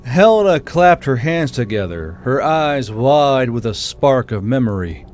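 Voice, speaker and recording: Slightly low-key male audiobook narrator over music. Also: very animated, a little slow, a little mellow. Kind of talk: scripted fantasy audiobook narration.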